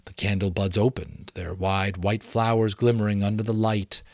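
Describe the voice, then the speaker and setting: Low-voiced man reading a story, dry sound. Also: dry recording. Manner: expressive, measured storytelling. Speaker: amateur audiobook reader. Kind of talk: dramatic audiobook-style narration of a novel.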